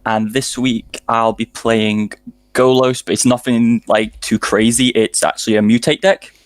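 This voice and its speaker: A man's voice: mid-range voice, flat delivery, unhurried, no echo. A man, a guest player who is a bit nervous and modest, chatting casually. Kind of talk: casual chat.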